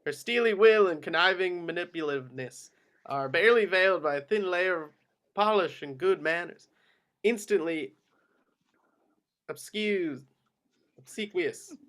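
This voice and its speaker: A man's voice: high-pitched, measured pace, pauses often, fairly bright tone. A man reading screenplay action descriptions as scripted narration at a table read. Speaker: reader of stage directions.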